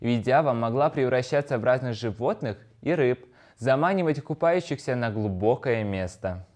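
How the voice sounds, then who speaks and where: Medium-pitched man presenting a lesson. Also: lively intonation, normal speed, darkish tone. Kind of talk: scripted educational narration.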